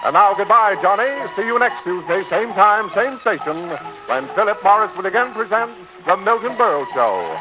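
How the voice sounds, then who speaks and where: A man's voice: sits high, expressive, moderate pace. A man announcing in polished 1940s radio commercial style, on a dull old recording with music behind him.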